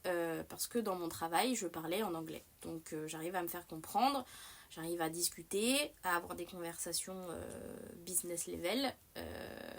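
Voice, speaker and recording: A young adult woman hosting a livestream in casual chat. She rambles and restarts a lot, with plenty of fillers and a self-mocking, animated way of talking. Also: on the low side. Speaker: livestream host.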